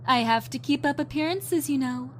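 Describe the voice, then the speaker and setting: Woman acting a high-voiced, vain glamour princess. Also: moderate pace, fairly bright tone, slight background noise. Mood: self-absorbed. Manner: breezy, self-absorbed, theatrical. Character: vain, glamorous, princess-like young fashion model. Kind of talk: audio drama acting.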